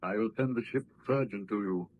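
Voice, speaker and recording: A man playing a courteous, inquisitive ship's captain, measured and formal, in a 1930s radio play. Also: lowish voice, phone-quality sound, natural voice. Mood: calm, courteous. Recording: noisy vintage 1930s radio broadcast.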